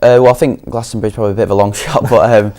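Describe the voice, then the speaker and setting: A man's voice: lowish voice, steady tone, racing, dark tone. A young man from Leeds, a singer-songwriter guest answering modestly and hesitantly. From Leeds, England. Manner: modest, hesitant, many ums.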